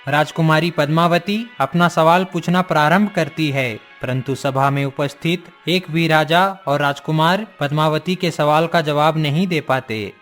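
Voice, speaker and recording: A man's voice: a little high, steady tone, measured pace, few pauses, a bit bright. Male story narrator over music.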